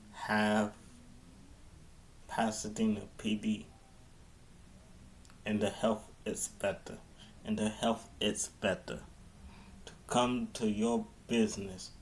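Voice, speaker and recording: Guy doing a mayor style public address, super slow n halting, gravelly lowish voice, noisy recording. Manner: halting, repeats and restarts phrases.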